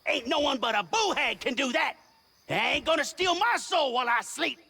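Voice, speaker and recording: Creaky, high-pitched, feisty Southern porch dweller. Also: animated, normal speed, pushed and bright, heavily acted. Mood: alarmed, hostile. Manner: combative, scolding. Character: suspicious, feisty, superstitious country porch dweller. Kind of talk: video game character acting.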